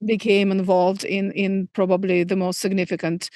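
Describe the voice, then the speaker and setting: A middle-aged woman from Moscow with a Russian accent, a native Russian speaker, answering interview questions thoughtfully with hesitant pauses. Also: medium pitch, flat delivery, darkish tone, no echo, clean studio sound. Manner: thoughtful, many fillers and restarts. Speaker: filmmaker guest.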